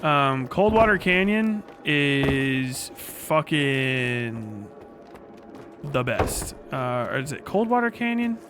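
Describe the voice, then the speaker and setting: A man's voice: medium pitch, sing-song, takes it slowly, over music. A man livestreaming casually while gaming, chatting in a rambling, profane, slangy way. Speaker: livestreamer reacting to a video. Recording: clearly noisy livestream audio with clicking.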